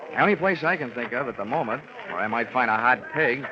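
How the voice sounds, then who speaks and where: An actor playing a wry, cocky, wisecracking insurance investigator in an old-time radio drama, with wordy, flippant narration and dialogue. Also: male voice, mid-range voice, lively intonation, fast talker, lightly acted. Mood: wry, thoughtful. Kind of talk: 1940s-50s radio acting, first-person narration and dialogue. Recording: noisy, muffled old radio broadcast.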